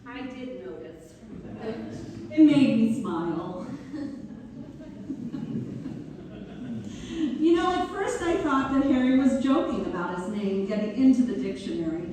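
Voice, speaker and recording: A woman playing Mabel, a genteel pianist turned writer, reminiscing in measured theatrical narration. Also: on the high side, big pitch swings, warm tone. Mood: fondly amused. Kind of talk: theatrical first-person narration, read-through. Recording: distant mic in very echoey hall, faint noise.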